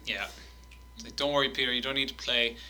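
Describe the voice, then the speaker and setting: A man in casual chat, reading long quotes aloud with animated delivery and laughing now and then. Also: mid-range voice, fast.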